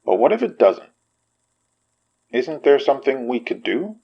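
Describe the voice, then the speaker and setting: An actor playing a caring, skeptical young man who gently teases and probes his friend, in a naturalistic audio drama. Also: mid-range voice, sing-song, a little slow, vocal fry, breathy edge, lo-fi sound, full character voice. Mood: concerned.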